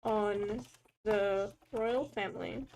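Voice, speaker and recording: A woman's voice: medium pitch, takes it slowly, darkish tone, low-quality recording. A young Australian woman in casual chat, giggly and self-mocking, muttering at her screen as she types. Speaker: co-host. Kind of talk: casual worldbuilding chat while typing.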